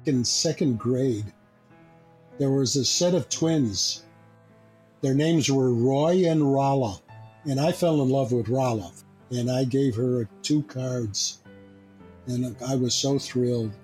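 A man with a medium-pitched voice looks back on his past in plain, short sentences. Music sits faintly underneath. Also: slow, darkish tone. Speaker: discussion participant. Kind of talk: personal reminiscence.

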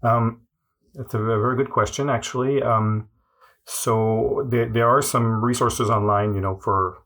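A man's voice: medium pitch, fairly even intonation, measured pace, fairly bright tone. A man from Montreal, a native French speaker with a Quebec French accent, answering interview questions in a hesitant way with many fillers and restarts. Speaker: guest.

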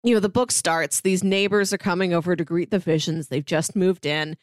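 Female co-host, slightly low pitch, casual book talk, chatty delivery, dry recording. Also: fairly even intonation, a little fast, no room sound. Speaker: podcast co-host.

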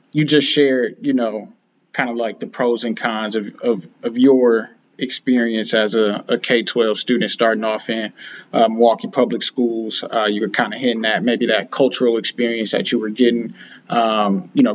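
A man's voice: medium pitch, steady tone, moderate pace, dull audio, a little hiss or hum. A man hosting an interview asks long, hesitant questions, filling them with "uh" and "you know". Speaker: podcast host, interviewer.

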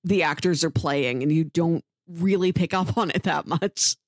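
A woman's voice: fairly low pitch, moderate pace, dry recording, clean studio sound. A woman talking casually and thoughtfully as a podcast co-host, reviewing a story in conversation.

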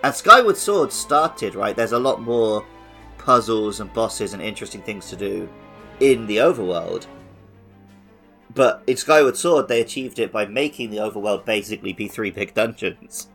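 A man's voice: on the low side, expressive, normal speed, pushed and bright, soft background music. A man hosting a podcast, talking casually about video games in a conversational way. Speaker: podcast host. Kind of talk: casual gaming podcast chat.

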